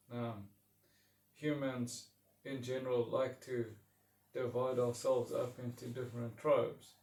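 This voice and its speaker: Slow-speaking South African man, roomy sound. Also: medium pitch, creaky, darkish tone, recorded from a distance. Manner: slow and reflective, with restarts and pauses. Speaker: English teacher. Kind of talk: casual talk to camera.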